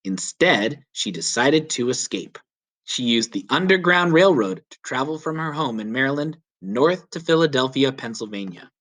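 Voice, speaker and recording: A man narrates a story for young listeners in the style of a teacher reading aloud. His voice sits at a medium pitch and moves up and down in a lively way. He phrases deliberately, leaning on key words for emphasis. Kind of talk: children's read-aloud narration.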